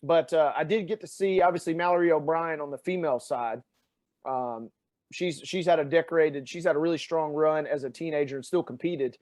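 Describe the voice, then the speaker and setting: A man from Tennessee with a Southern accent, chatting as a guest and telling animated stories with lots of fillers. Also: on the high side, fairly quick. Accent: Southern American, Tennessee. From Tennessee, USA. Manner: animated storyteller with many fillers. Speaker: podcast guest. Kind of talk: casual chat.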